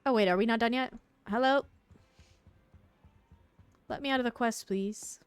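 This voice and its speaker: A woman's voice: a little high, moderate pace, clear, resonant tone, no echo. A woman streaming a game, giving casual, slangy live commentary and reacting aloud as she plays.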